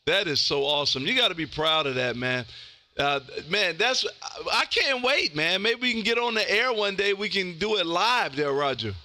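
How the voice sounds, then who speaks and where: A man's voice: sits high, lively intonation, moderate pace, sharp tone, a little hiss or hum. A man hosting a live amateur radio stream, chatting casually in an effusive, folksy way and peppering his talk with "man". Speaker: amateur radio livestream host. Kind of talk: casual radio chat with listeners.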